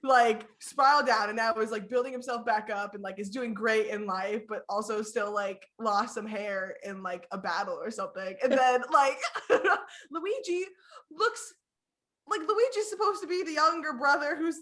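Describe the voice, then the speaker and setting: A woman's voice: on the high side, moderate pace, a bit bright. A young woman co-hosting a casual podcast chat, rambling with lots of fillers and frequent giggles. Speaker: podcast co-host.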